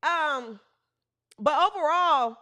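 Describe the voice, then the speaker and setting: A woman in her thirties hosting a live sports talk stream, animated and playful, with bursts of shouting. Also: sits high, takes it slowly. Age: 30s. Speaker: podcast host.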